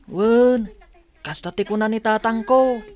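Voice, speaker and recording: A woman's voice: fairly high pitch, a little slow, a little mellow, natural voice. A woman voicing a small, blunt, nosy village child in a radio comedy-drama, with an Ilocano accent. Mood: argumentative.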